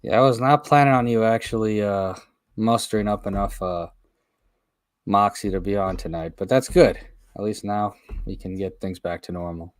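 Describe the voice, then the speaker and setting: A man's voice: on the low side, moderate pace, no echo. A man hosting a casual radio talk show, telling stories with lots of fillers. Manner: animated storyteller with frequent fillers.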